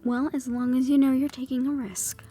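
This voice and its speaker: A woman's voice: high voice, level tone, normal speed, faint music behind, lightly acted. A woman as a sly, sardonic shadow thief. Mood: teasing. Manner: teasing and sardonic, dropping to soft whispers. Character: sly young female shadow thief, defiant and bitter. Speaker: voice actor in an audio roleplay. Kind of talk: audio roleplay acting.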